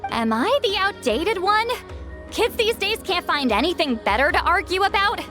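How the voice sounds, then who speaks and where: Game role: prickly great shaman woman, high-pitched, theatrical and defensive, music underneath. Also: very animated, moderate pace, sharp tone, heavily acted. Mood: indignant. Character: proud, prickly female great shaman, easily flustered. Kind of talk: video game voice acting.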